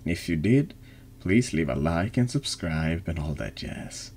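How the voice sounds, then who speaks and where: A man with an extremely low voice speaks slowly as he streams a horror text game. His delivery is heavy with vocal fry, and there is faint noise behind him. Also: lively intonation, darkish tone. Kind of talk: slow dramatic reading of a horror text game and casual chat.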